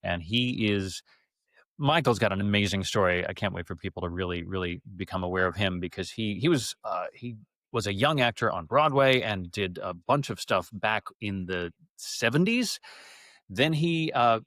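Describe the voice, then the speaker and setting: A man speaking as an interview guest about his theater work, in casual, animated, effusive storytelling. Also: fairly low pitch, normal speed, dry recording. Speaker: interview guest, theater actor and radio-theater adapter.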